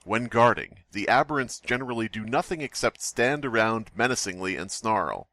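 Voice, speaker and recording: A man with a fairly low but bright, punchy voice in a very dry recording. He chats and reads as a podcast host, riffing with sarcasm. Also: lively intonation, normal speed, no room sound. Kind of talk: casual comedic commentary and reading aloud.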